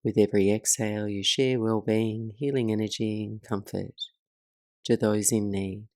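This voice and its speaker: A woman leading a guided meditation as the teacher, speaking in a soothing, measured way with soft pauses. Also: extremely low pitch, steady tone, no room sound, studio quality.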